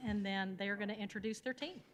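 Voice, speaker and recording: A woman's voice: mid-range voice, fairly even intonation, fairly quick, a little hiss or hum. Nonprofit representative, woman, introduces a team gratefully. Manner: warm, thankful. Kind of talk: introducing guests at a public meeting.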